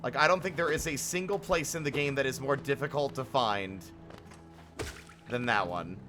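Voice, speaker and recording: A man's voice: medium pitch, normal speed. A man chatting casually and expressively to viewers while live-streaming a game, with some swearing. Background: game music.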